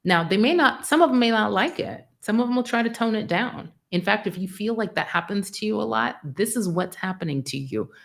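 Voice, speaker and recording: A middle-aged American woman giving a live spiritual sermon, frank and profane, acting out dialogue in an animated way. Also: medium pitch, brisk, vocal fry, hardly any pauses. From United States. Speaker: spiritual teacher.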